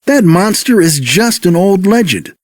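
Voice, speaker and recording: Guy playing confident young race car in a kids story, breezy, really animated, a bit high. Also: measured pace, dry recording, clean studio sound. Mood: reassuring. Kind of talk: read-along children's story character.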